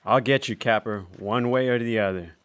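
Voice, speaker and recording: A man acting a hot-tempered, bullying Western rancher in a radio drama, gruff and threatening, with rustic speech. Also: mid-range voice, normal speed, a bit bright, natural voice. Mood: furious.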